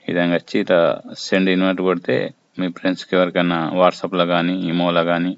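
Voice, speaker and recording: Low monotone man, muffled recording. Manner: halting, explains step by step in short phrases. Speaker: video tutorial presenter. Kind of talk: informal app tutorial. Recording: muffled lo-fi audio with faint noise.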